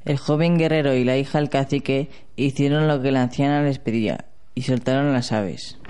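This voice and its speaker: A man's voice: mid-range voice, steady tone, normal speed, darkish tone, a little hiss or hum. A man narrating a legend in an amateur radio play, reading aloud steadily. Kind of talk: read storybook narration.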